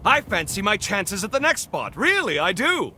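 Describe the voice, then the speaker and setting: A pompous, affable gentleman from a video game, eccentric and grand. His highish voice swoops dramatically through florid phrases. Music plays clearly behind him. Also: very animated, moderate pace, exaggerated voice. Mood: undeterred. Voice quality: high-pitched, swooping delivery. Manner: pompous, florid, exclamatory and theatrical. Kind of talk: theatrical video game character acting. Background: clear background music.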